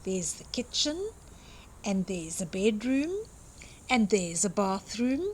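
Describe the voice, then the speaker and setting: An older woman reading a picture book aloud expressively to young children, with simple explanatory asides. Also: mid-range voice. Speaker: children's storytime reader and host.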